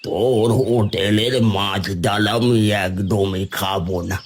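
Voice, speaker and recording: A man voicing a picky, dismissive older village uncle in a cartoon, husky and drawling. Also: lowish voice, steady tone, takes it slowly, exaggerated voice. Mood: grumbling. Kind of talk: cartoon dialogue.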